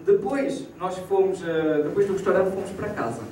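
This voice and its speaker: A man's voice: high voice, normal speed. A young man in his twenties from Portugal with a European Portuguese accent, doing a stand-up comedy set. He tells his stories with animation and acts out the dialogue. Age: 20s. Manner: animated storytelling, acting out quoted dialogue. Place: small theater stage. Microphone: handheld mic, filmed from far off. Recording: echoey hall, distant camera, audience noise. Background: audience laughter.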